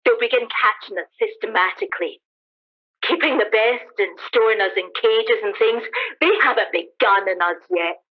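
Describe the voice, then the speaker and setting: A woman plays a cynical, defiant soldier who has survived a catastrophe in a radio drama. The talk is colloquial and emphatic, at a middling pitch. The sound is thin and band-limited, like a phone line. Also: moderate pace, close to an everyday voice. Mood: grim, resigned. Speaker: amateur actor in a radio play. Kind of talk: dramatised radio play dialogue.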